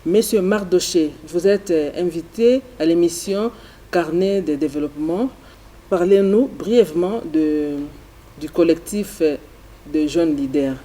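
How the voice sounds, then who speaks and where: A male TV host asking formal, measured questions in a studio interview. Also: a little high, mellow.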